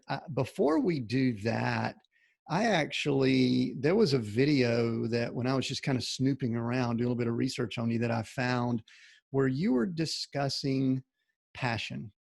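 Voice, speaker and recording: A man's voice: mid-range voice, creaky, no room sound, clean studio sound. A man hosting a podcast, asking chatty, rambling questions in a folksy way. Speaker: podcast host. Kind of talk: conversational interview hosting.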